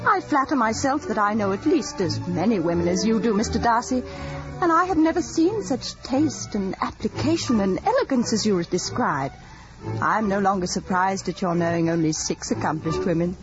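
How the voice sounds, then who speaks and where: A bold young gentlewoman played by a woman teases archly in a radio period drama. Her mid-pitched voice is animated and forthright, in a slightly muffled recording with music behind. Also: moderate pace, natural voice. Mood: mocking. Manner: arch, teasing, forthright.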